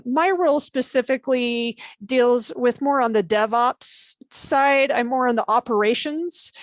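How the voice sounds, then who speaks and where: Woman engineer on a panel, highish voice, dry and close. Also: no room sound. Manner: plain, explanatory, with some restarts. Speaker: senior service engineer, panelist. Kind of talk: casual panel introduction. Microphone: video call.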